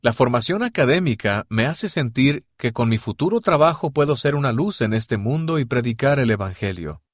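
A man's voice: medium pitch, animated, moderate pace, no echo, clean studio sound. Earnest man giving a short testimony.

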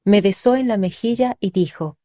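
A woman reading a first-person story aloud in a calm, measured, reflective way. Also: medium pitch. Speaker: reader. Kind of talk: scripted first-person narration.

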